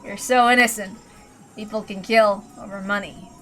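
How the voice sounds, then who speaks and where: A villainous uncle from a visual novel, played by a woman. He talks casually and calmly, joking with mock kindness while being utterly ruthless. Faint music plays behind him. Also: mid-range voice, normal speed, natural voice. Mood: mocking, resigned. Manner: casual, calm, mockingly kind. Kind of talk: dramatic visual novel character reading.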